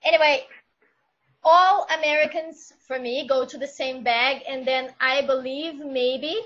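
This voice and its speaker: A woman whose first language is Portuguese speaks English with a Brazilian accent, pausing to think aloud as she hunts for her words. Her voice is high and her delivery unhurried. The recording sounds dull and lo-fi. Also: fairly even intonation. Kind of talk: casual discussion.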